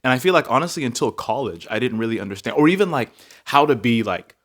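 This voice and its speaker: A young man from Africa co-hosting a faith podcast, musing aloud in casual chat with lots of fillers and lively leaps in pitch. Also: fast. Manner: reflective, many filler likes, animated jumps in pitch. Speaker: podcast co-host.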